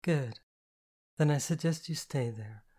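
A narrator voicing a sleepy, kind woman who reassures and gently takes command in an audiobook story. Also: mid-range voice, a little slow, slightly rough. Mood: reassuring, commanding. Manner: drowsy, reassuring, evenly commanding.

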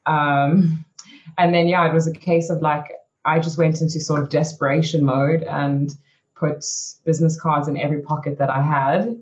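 A woman's voice: low-pitched, level tone, normal speed, darkish tone, dull audio. A young woman from South Africa, a racing driver, telling her story frankly as an interview guest, with lots of "you know" and "like". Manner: chatty and frank, many "you know" and "like" fillers.

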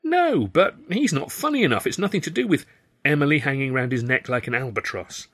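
Man acting an insistent, pleading young suitor, pitched up. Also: big pitch swings, a little fast, a bit bright. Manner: urgent, pleading.

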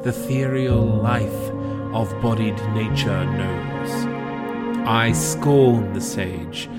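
A man reciting poetry in a theatrical, deliberate manner. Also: lowish voice. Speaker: poetry podcast reader. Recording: music playing behind the voice.

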